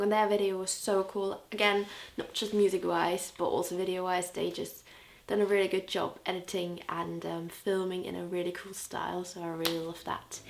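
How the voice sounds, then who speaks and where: A woman's voice: mid-range voice, creaky, a little hiss or hum. A young woman with a Scouse accent, chatting casually and enthusiastically in a year-in-review vlog. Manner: chatty and enthusiastic, with frequent fillers. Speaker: vlogger and music interviewer.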